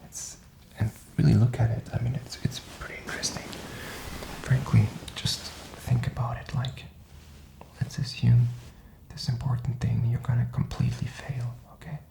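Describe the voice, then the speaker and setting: A man's voice: mid-range voice, level tone, moderate pace, vocal fry, roomy sound, rough recording. A man whispering in a rambling, reflective and informal ASMR style, feeling his way through his thoughts. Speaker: ASMR content creator.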